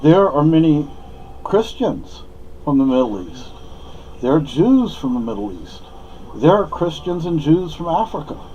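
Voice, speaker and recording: A man's voice: a little high, sing-song, unhurried, mellow, lo-fi sound, slight background noise. A man from Spain, a native Spanish speaker, giving a rambling, opinionated monologue with many fillers and restarts. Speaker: vlogger.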